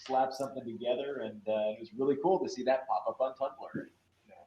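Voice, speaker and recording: A man's voice: medium pitch, fairly even intonation, fast talker, warm tone. A man chatting casually as an interview guest, talking in a loose, informal way with many asides. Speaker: podcast creator, interview guest. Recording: roomy echo, dull lo-fi sound.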